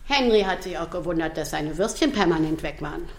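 A woman playing a brisk, bossy but good-hearted hotel employee with a teasing manner, in a German children's radio drama. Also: lowish voice, animated, roomy sound, a little hiss or hum. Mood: amused, kind. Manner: firm, teasing.

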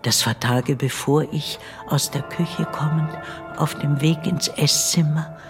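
An actress playing the tender ghost of an older nanny and servant woman in a radio play, hushed and deliberate. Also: deep voice. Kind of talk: German radio play narration.

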